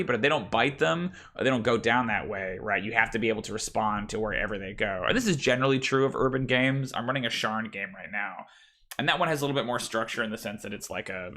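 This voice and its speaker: A man with a deep voice, a game master thinking aloud about his campaign in a casual, rambling way with many fillers. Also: bright, forceful tone. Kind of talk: casual prep talk about running a tabletop campaign.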